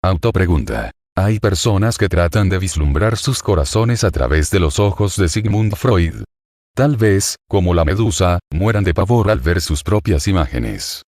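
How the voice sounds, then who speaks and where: A man from Argentina with an Argentine accent, a psychoanalyst reading a scripted self-interview in a solemn, deliberate, self-assured way. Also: sits low, lively intonation, a little mellow, dry recording, clean studio sound. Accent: Argentine Spanish.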